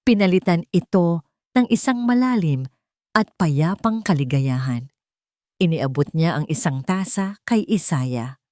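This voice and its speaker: A woman storyteller delivers scripted, dramatic narration in a somewhat low voice. The recording is dry and close, with very little room sound. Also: animated, unhurried, mellow, dry recording.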